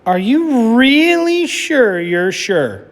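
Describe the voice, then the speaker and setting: A man voicing an excitable, bossy but kind little girl mouse in a storybook read-aloud, eager and pleading. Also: drawn-out words, heavily acted. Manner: eager, pleading, raised higher voice. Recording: echoey room with long reverb.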